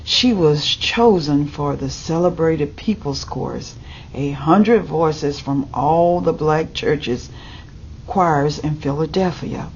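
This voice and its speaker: A woman reads a children's story in a deliberate, unhurried manner. Her voice sits low for a woman and takes its time. The recording is a little dull, with some noise behind her. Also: a little mellow. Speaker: storybook reader. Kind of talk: reading a children's picture book aloud. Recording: dull lo-fi audio with background noise.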